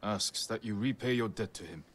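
An actor playing a stern, resolute samurai lord in a video game, speaking in a terse, measured, grave way. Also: male voice, fairly low pitch, lightly acted. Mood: grave, insistent. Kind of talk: video game cutscene acting.

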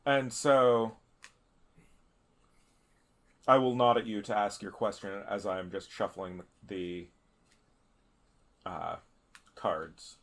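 A man narrating a tabletop game as a player, improvising in halting, deliberate phrases. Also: lowish voice, steady tone.

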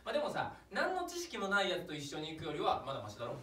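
A man's voice: sits high, wildly swooping pitch, very fast, fairly bright tone, heavily acted. A man playing an earnestly silly young climber in a live stage comedy sketch. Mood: friendly. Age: young adult. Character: deadpan-silly young male climber, earnest about absurd games. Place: small theatre stage, indoors. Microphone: far camera. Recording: distant, echoey, noisy theatre recording.

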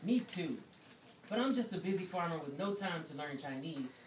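Heard from far off in a noisy, echoey room, a woman plays a good-natured puppet farmer. The delivery is folksy and very animated in pitch. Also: normal speed, natural voice. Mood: matter-of-fact, wistful. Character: plain-spoken busy Korean farmer, folksy, good-natured. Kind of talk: amateur puppet-show acting. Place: classroom, performers behind a draped table. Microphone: distant camera.

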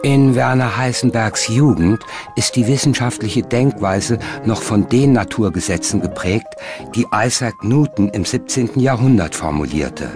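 A man narrating a documentary from a script in a measured, articulate way. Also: lowish voice, over music.

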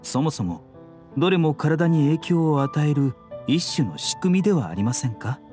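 An actor playing a serene, idealistic young male physician in a game, speaking in polite, measured, philosophical phrases. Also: on the high side, lively intonation, a little mellow, faint music behind, close to an everyday voice. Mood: composed, determined. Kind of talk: scripted game dialogue.

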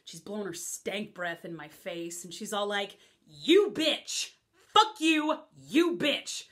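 A woman's voice: lowish voice, fairly even intonation, moderate pace, fairly bright tone, pitched below the performer's own voice, natural voice. A woman playing a brash modern woman who tells a rambling story in a casual, crude way.

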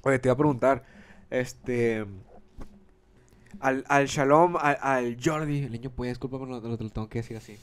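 A man's voice: medium pitch, steady tone, normal speed, smooth. A man, hosting a podcast in casual, joking chat and laughing often, recorded close on a handheld mic in a small room. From Mexico. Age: 20s. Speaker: podcast host. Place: small room, seated on a couch. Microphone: handheld microphone.

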